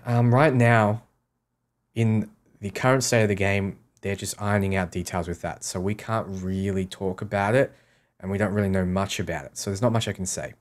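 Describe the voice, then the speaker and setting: A man's voice: on the low side, fairly even intonation, normal speed. A man streaming a game, casually reading out questions and answering them offhand in short, repeated sentences. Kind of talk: casual livestream Q&A.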